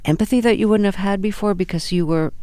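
Smooth-voiced radio host, dry studio. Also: male voice, sits high, warm tone, no room sound. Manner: measured, clear questioning. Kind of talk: radio interview hosting.

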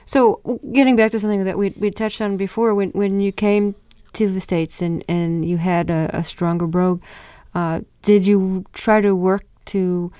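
A woman hosting a radio interview, asking questions and giving measured introductions. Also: medium pitch, fairly even intonation, warm tone, smooth, no echo.

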